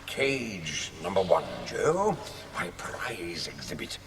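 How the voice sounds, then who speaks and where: An actor playing a suave, menacing sorcerer villain in an audio drama, theatrical and mockingly polite with drawn-out threats. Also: male voice, low voice, big pitch swings, heavy background noise, full character voice. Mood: mockingly genial.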